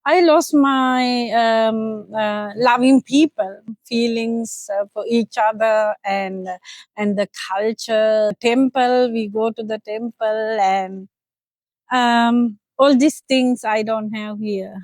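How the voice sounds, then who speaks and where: A middle-aged woman from Sri Lanka with a Sri Lankan accent, a restaurant owner answering interview questions in halting English with many fillers. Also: on the high side, fairly even intonation, darkish tone, no room sound. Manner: hesitant non-native English with many fillers, slips into German. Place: outdoors in front of her restaurant, then inside.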